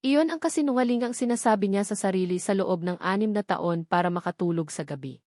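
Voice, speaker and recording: A woman's voice: medium pitch, a little fast, darkish tone, no room sound. Filipino woman narrating, close dry sound. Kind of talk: dramatic scripted story narration.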